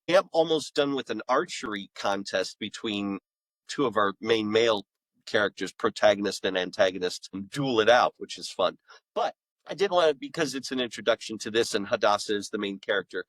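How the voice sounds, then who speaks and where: A middle-aged man speaks as a guest in a casual interview. His pitch is average and the sound is fairly dry. He explains things like an animated storyteller, voicing little quotes along the way. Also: no room sound, low-quality recording. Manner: animated storyteller, quotes own thoughts and others' remarks. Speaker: author and audio drama director, interview guest. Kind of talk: casual conversational interview talk.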